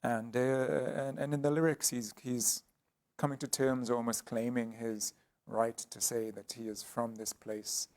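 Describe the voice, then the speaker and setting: Hesitant soft-spoken male artist. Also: medium pitch, little pitch movement, unhurried. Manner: hesitant, many ums and restarts. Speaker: artist guest. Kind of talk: thoughtful conversational interview.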